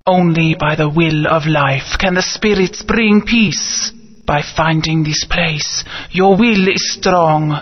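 An actor playing an ancient godlike spirit, speaking in slow solemn proclamations. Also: male voice, a little high, husky. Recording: dull game audio with long reverb.

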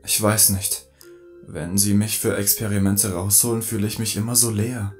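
A man playing a bitter, enslaved robot with a measured, accusing manner in a scripted audio drama. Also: on the low side, little pitch movement, darkish tone, faint music behind, close to an everyday voice. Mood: weary, hollow. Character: bitter, enslaved male robot turning vengeful.

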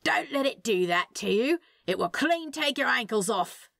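A woman's voice: a little high, lively intonation, normal speed, creaky, a bit bright, no echo, clean studio sound, voice pushed up, heavily acted. A woman voicing a snappy, exasperated, paranoid male demon in a storybook reading. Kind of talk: storybook character voice in fan fiction reading.

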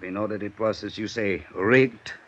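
An actor playing a big, shrewd Swiss police inspector, formal and measured, with a German accent, in 1950s radio drama style. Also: male voice, on the low side, lightly acted. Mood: formal probing. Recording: dull, noisy 1950s radio recording.

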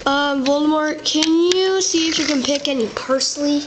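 A woman's voice: high-pitched, normal speed, natural voice. A female voicing a bossy, scatterbrained young male wizard hosting a cooking show in a homemade toy play. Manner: bossy, scatterbrained, giving orders. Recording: noisy home recording.

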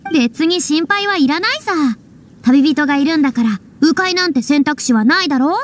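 A woman's voice: high voice, lively intonation, moderate pace. A woman voicing a proud, theatrical young former goddess in an anime game, boyish in speech and easily flustered. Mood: boastful.

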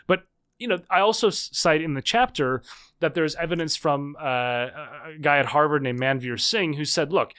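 A man in his thirties, a guest author explaining ideas in a podcast interview, animated and full of quick restarts. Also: fairly high pitch, clear, resonant tone.

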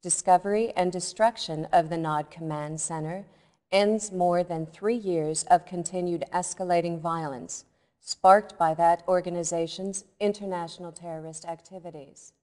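Actress doing a serious tv news anchor lady for a game, low voice, formal and measured, big echoey reverb. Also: steady tone, warm tone, lightly acted. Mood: grave, formal. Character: composed, serious female television news anchor. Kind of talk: formal scripted TV newscast delivery. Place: studio newscast in a video game cutscene.

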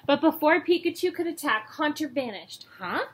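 A young woman reading a children's book aloud in a storytelling style, acting out dialogue and pausing to point out pictures. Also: on the high side, lively intonation, fairly bright tone. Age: young adult. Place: small room at home, indoors. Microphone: webcam or laptop mic at arm's length. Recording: noisy home webcam recording.

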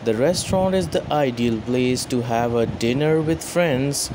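A man narrating a scripted top-ten countdown in an even, measured reading voice. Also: medium pitch, background noise. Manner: even, measured reading with place names mispronounced.

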